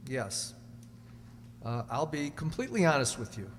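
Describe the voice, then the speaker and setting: A man's voice: medium pitch, very animated, normal speed, slight background noise, close to an everyday voice. A man playing an anxious young murder suspect, earnest and faltering, in an old radio drama with a reverberant, hummy sound. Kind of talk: 1940s radio drama acting.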